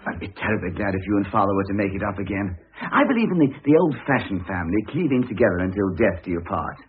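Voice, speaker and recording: An eager, priggish British son in an old radio play. His low, rough voice swings expressively as he moralises with great insistence. It sounds boxed in and tinny, like an aged broadcast. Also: very animated, quick pace. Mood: earnest, insistent. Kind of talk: vintage British radio drama acting.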